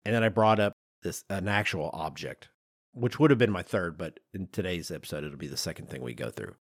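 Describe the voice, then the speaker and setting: A middle-aged man co-hosting a podcast in a conversational, animated and emphatic way, with some self-deprecation. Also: low-pitched, fairly quick, breathy edge, dry recording, studio quality. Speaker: podcast co-host.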